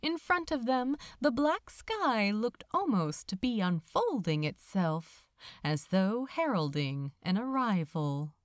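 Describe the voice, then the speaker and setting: A woman narrates fiction dramatically. Her delivery is slow and measured, with lively rises and falls in pitch, and the recording is close and dry. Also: no room sound. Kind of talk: dramatic scripted story narration.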